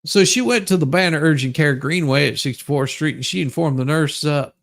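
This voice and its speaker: A man giving a mock dramatic reading with crude comic asides, in a halting, folksy, stammering delivery. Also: on the high side, creaky. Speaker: livestream host.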